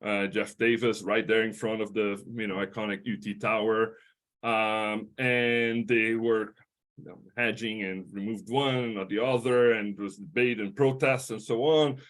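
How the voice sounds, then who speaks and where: A middle-aged man with a Portuguese-speaking accent, a history professor giving an academic lecture, talking in a halting way with many fillers, restarts and tag questions. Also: fairly low pitch, fairly even intonation, a bit bright.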